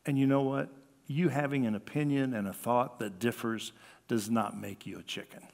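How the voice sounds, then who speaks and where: An older man with an American accent preaches in a conversational way from a church lectern. His voice sits at a middle pitch and rings with the long reverb of the hall. He delivers with animation, slipping into the words of the people he quotes. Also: steady tone, normal speed, vocal fry, darkish tone. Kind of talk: sermon, conversational. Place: church sanctuary at a lectern, indoors.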